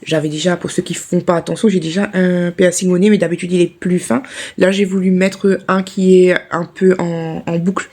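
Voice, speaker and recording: A woman's voice: on the low side, level tone, moderate pace, a little mellow. A woman with a sore throat, chatting casually to camera in a rambling vlog with many restarts. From Réunion. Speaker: vlogger.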